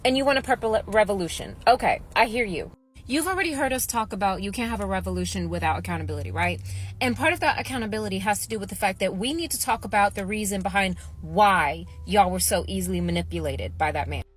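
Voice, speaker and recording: A woman delivering a deliberate, lecturing monologue for a social media video. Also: mid-range voice, slight background noise.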